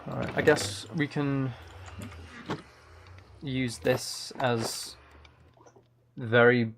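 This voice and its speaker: A man with a creaky voice chats casually as a live streamer while gaming, half talking to himself and half to his viewers. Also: medium pitch, fairly even intonation, drawn-out words. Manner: muttering to himself between replies to chat. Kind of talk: casual chat while playing a video game on a livestream. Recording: faint noise with keyboard clicks.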